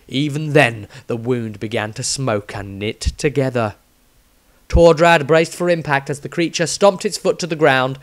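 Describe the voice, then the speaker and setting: A man's voice: a little high, a bit bright. A man reading a scripted fantasy tale as its narrator, in a dramatic storytelling style. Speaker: author reading his own story.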